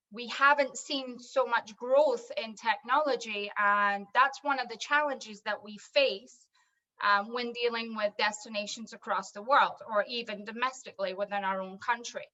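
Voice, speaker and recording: A Scottish woman pitches her business over a dull, lo-fi video call. Her voice is fairly high and very bright, and she talks fluently, listing points one after another. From Scotland. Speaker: wedding software business owner. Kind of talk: pitching in an online panel discussion.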